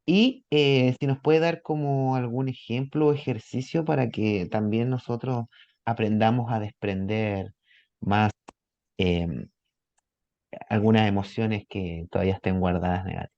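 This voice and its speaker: A man moderating an online meeting informally and politely, with halting phrasing, heard through dull, roomy meeting audio. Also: lowish voice, steady tone, a little mellow. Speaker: host of the meeting.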